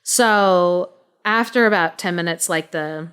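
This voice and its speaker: Slow-paced, very clear-voiced woman telling stories. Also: lowish voice, fairly bright tone. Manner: informal, halting, explanatory delivery. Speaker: podcast co-host and storyteller. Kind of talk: casual conversational storytelling.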